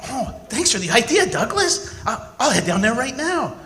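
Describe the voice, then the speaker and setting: A man playing an earnest, hesitant high school boy who stumbles over his words in an old-time radio ad. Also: sing-song, normal speed, pushed and bright, heavily acted. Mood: excited.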